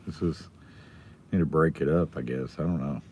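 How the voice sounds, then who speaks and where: A middle-aged man with a hoarse, raspy voice, casually chatting with viewers on his live stream. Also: extremely low pitch, moderate pace, dark tone, slight background noise. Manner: rambling, chatting with viewers by name.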